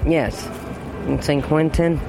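A man's voice: on the high side, steady tone, takes it slowly, creaky, over music. An older man on a city street, telling a story in a plain, rambling way. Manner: plain, rambling, restarts dates. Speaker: interviewee. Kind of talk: street interview. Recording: street traffic noise. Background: traffic noise.

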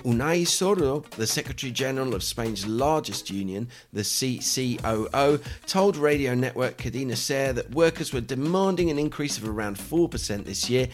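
A man co-hosts a news podcast in a voice of average pitch. He speaks as someone discussing and presenting current affairs. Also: lively intonation, moderate pace, hardly any pauses, soft background music. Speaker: podcast co-host.

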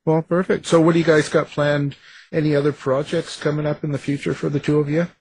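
A man with a husky voice hosting a casual interview, informal and stumbling a little over his questions. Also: on the high side, flat delivery, a little fast, a little mellow. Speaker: interview host.

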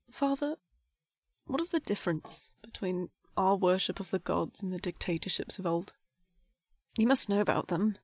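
A woman's voice: lowish voice, husky, no room sound. A young woman playing a disillusioned, grieving teenage priestess in an audio drama, speaking in a formal, articulate way. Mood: challenging, intense. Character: disillusioned nineteen-year-old priestess, defiant, grieving, bitter.

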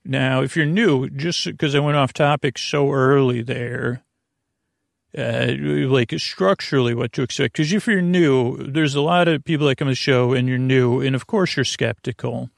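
A man with a creaky, gravelly voice at an average male pitch delivers a bedtime podcast monologue. He wanders softly from tangent to tangent, often stopping and starting, in a soothing manner. The sound is dry, with no room to it. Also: no room sound. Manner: meandering, tangential, halting; soothing, gentle. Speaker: podcast host.

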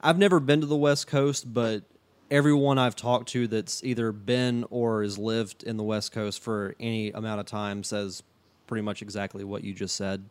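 A man hosting a casual podcast interview, relaxed and chatty, often restarting his sentences. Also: lowish voice, moderate pace, clean tone. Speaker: podcast host.

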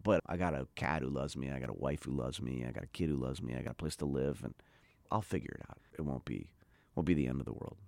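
A man's voice: extremely low pitch, quick pace, gravelly, darkish tone, no room sound. A middle-aged man telling a story in a casual interview, chatty and full of "like", acting out what people said. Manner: chatty, lots of "like", acts out quoted voices. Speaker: guest.

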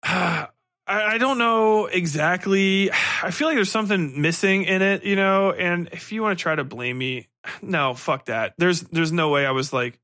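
A man's voice: high voice, a little slow, bright, forceful tone, no room sound, clean studio sound. A man hosting a book podcast, rambling through a casual review in blunt, irreverent, profanity-heavy slang. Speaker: podcast host reviewing books.

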